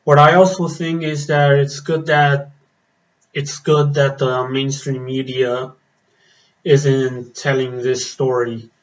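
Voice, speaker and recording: A man talks slowly through a casual reaction vlog, his voice slightly raspy from the cold. His pitch stays flat as he stops and restarts, filling gaps with ums and uhs. The recording sounds a little dull and muffled. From Spain. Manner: hesitant, many fillers and restarts. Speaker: metal vlogger. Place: outdoors in the cold.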